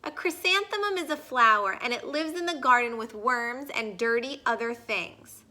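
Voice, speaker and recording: A woman's voice: fairly high pitch, normal speed, sharp tone. A woman reading a storybook as a snooty, taunting little schoolgirl. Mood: snide. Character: snooty mean little schoolgirl who teases. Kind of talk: storybook character voice.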